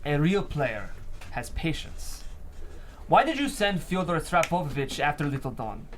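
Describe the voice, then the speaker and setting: A fairly high male voice with faint background noise. A man plays a stern, patient crime boss in a TV drama, weighing his words with quiet menace. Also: big pitch swings. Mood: quietly probing. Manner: measured, deliberate. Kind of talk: table read of a TV drama script.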